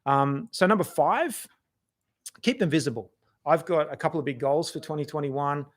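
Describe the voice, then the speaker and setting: A middle-aged Australian man with a voice worn hoarse, giving chatty, encouraging advice on a live video talk, with many restarts. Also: a little high, moderate pace. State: losing his voice. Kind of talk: casual motivational live talk.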